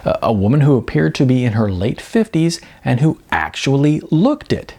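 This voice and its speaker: A man's voice: mid-range voice, big pitch swings, normal speed, a little mellow. A man narrating as an author, reading from his own fiction. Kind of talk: scripted audiobook-style narration.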